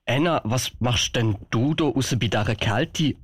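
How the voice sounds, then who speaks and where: A man's voice: medium pitch, moderate pace, breathy edge, dry recording, lightly acted. A man with a Swiss German accent playing a kindly, flustered old Santa Claus in an amateur radio play. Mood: surprised, caring.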